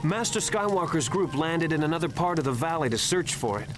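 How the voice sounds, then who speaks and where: Higher-voiced, watchful male Jedi comrade played by an actor. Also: expressive, brisk, close to an everyday voice. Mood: concerned, alert. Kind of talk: video game cutscene acting. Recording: music behind the voice.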